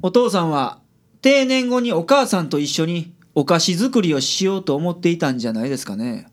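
Gruff, shrewd male private detective, played by an actor, Kansai accent, lively pitch swings, radio drama. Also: lightly acted. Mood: measured, kind. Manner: blunt with colleagues, measured and polite with clients.

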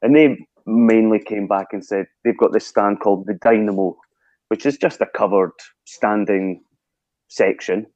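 A Scottish man, a guest telling lively stories in a casual interview and acting out his own past thoughts. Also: lowish voice, unhurried, no echo, dull audio. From Scotland.

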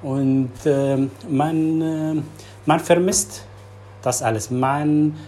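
Hesitant man, low and mellow. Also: steady tone, a little hiss or hum. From Syria. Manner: halting, searching for words, many fillers. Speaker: interviewee. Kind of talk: personal testimony.

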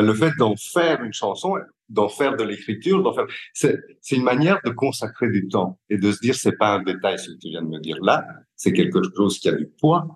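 Male interviewee, Belgian French accent, low voice, care professional explaining thoughtfully with searching pauses. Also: low-quality recording. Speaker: youth psychiatric care worker, interviewee. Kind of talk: reflective spoken interview.

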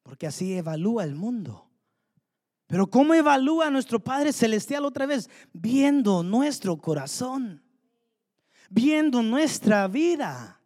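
A man's voice: very high-pitched, big pitch swings, measured pace, darkish tone. A man preaching a sermon, fervently asking the congregation rhetorical questions. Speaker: pastor. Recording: long hall reverb.

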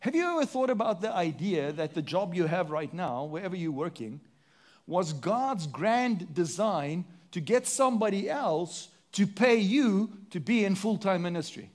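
High-voiced South African preacher. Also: male voice, lively intonation, moderate pace, darkish tone. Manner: preaching with sweeping rises and drops, rhetorical questions. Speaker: pastor. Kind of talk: sermon.

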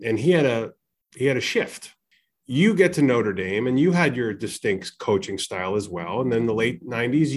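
A male host interviewing a guest, with long, rambling setups before each question. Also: fairly low pitch.

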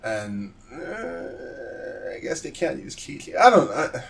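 A man's voice: medium pitch, very animated, slow pace, vocal fry. A man in a casual podcast chat about games, thinking aloud hesitantly as he talks. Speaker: podcast panelist.

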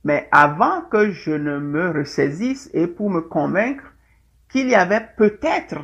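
A middle-aged man gives a presentation, pausing often on fillers and restarting his phrases. His voice sits a little high and has a gravelly, creaky texture. The recording sounds dull and lo-fi. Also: expressive, fairly bright tone. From Togo. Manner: hesitant, many fillers and restarts. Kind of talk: presentation talk.

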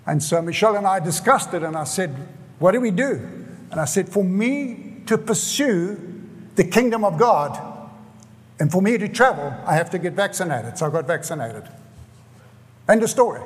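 South African preacher, high voice, echoing hall. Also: male voice. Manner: earnest, repeats phrases for emphasis. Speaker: pastor. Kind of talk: sermon. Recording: hall reverb.

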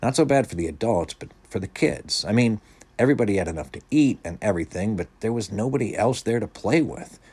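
A man's voice: on the low side, lively intonation, fairly quick, a little mellow, breathy edge, voice pushed up. A man voicing a sensible, candid teenage orphan girl in a storybook, speaking in a frank, reasoning way.

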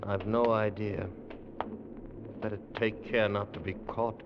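An actor in an old radio drama playing a resolute, analytical explorer, deliberate and grimly composed under danger. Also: male voice, lowish voice, flat delivery. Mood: tense, hushed. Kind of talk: 1940s-50s radio drama acting. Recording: dull, noisy old radio recording.

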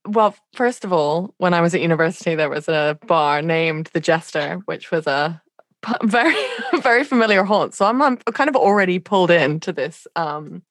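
A woman's voice: lowish voice, lively intonation, normal speed, dry recording, studio quality. Hesitant woman discussing a story. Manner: hesitant, many fillers and restarts. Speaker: podcast co-host. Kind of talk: casual literary discussion.

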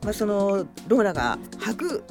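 A woman co-hosting a radio show, chatting casually about films in a halting, conversational way that seeks agreement. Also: medium pitch, very animated. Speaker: radio show co-host, troupe actor. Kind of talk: casual film discussion chat. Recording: background music under the talk.

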